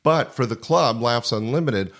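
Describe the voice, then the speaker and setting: A man's voice: mid-range voice, moderate pace, a bit bright. An older man hosting a conversational interview, reminiscing in a rambling way. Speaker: podcast host, former comedy club owner.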